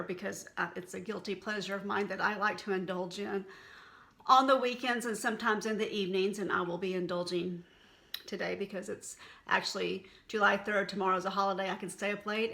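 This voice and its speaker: A woman's voice: medium pitch, fairly even intonation, brisk, gravelly, bright, forceful tone. A middle-aged woman with a Texan accent, talking candidly and casually to camera as a wellness blogger. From Texas. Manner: chatty, candid and folksy. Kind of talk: unscripted casual monologue to camera.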